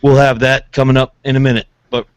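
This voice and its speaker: A man's voice: medium pitch, flat delivery, fast, smooth, no echo. An American man hosting a radio show in casual chat, rambling informally through his stories. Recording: dull, lo-fi audio.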